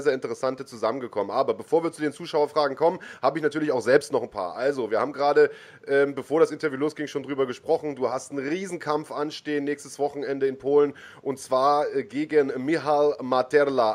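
A man's voice: medium pitch, fairly even intonation, fast talker. A man hosting a combat sports podcast in casual chat, talking loosely with frequent fillers and self-corrections. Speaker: podcast host.

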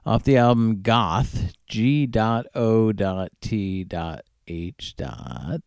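Animated male radio DJ with creaky voice. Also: medium pitch, dry recording, clean studio sound. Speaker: radio DJ and show host. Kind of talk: casual music radio back-announcing.

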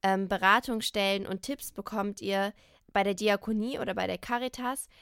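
A woman's voice: mid-range voice, steady tone, normal speed, vocal fry, a bit bright, smooth, no echo, clean studio sound. A young woman hosting a radio show, chatting directly to her listeners in a friendly way. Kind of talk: casual radio outro.

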